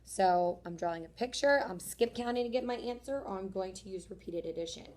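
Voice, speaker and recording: A young woman teacher speaks to her third graders with a voice worn hoarse by the day. She is friendly and encouraging, and she explains each step slowly and plainly. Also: mid-range voice, a little mellow. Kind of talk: homework explanation video for students.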